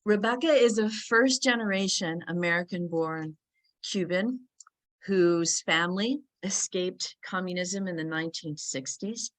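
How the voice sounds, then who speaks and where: A woman's voice: lowish voice, unhurried. Enthusiastic woman talk show host. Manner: enthusiastic, many fillers.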